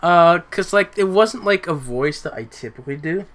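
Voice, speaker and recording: A young man who hosts a podcast, chatting casually and haltingly, repeating words as he thinks. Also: sits high, animated, fairly bright tone. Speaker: podcast host and amateur voice actor. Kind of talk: casual chat.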